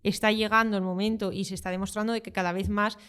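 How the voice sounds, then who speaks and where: A young woman talks through her translation work in an easy, conversational way. Her voice is smooth and clear, at a middle pitch. She pauses on fillers while she gathers her thoughts. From Spain. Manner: relaxed, explanatory, with frequent fillers. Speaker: guest, football translator. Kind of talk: conversational interview answers.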